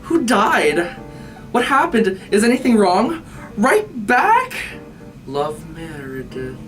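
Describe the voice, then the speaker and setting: A woman reading a child's letter out loud. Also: medium pitch, sing-song, slow. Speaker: staff member reading aloud. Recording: distant mic with faint guitar music. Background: faint guitar music.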